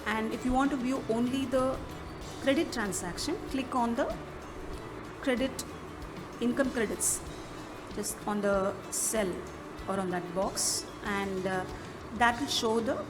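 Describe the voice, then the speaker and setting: A woman presents a software walkthrough in an instructional manner, with halting phrasing. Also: a little high. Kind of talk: step-by-step app walkthrough narration. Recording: music behind the voice. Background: clearly audible music.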